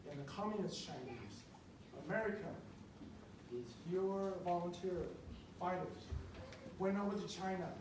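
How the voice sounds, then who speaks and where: A speaker from Taiwan giving an impassioned, emphatic public speech at a meeting, repeating phrases for effect. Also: mid-range voice. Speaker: public speaker at a school board meeting, treats patients. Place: indoor public meeting room. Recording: distant mic in echoey, noisy meeting room.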